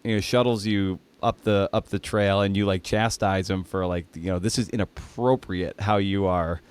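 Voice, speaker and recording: A man hosting a casual interview podcast, talking in a thoughtful, halting way with trailing asides. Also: sits low, fairly even intonation, clean tone. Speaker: podcast host interviewing a writer.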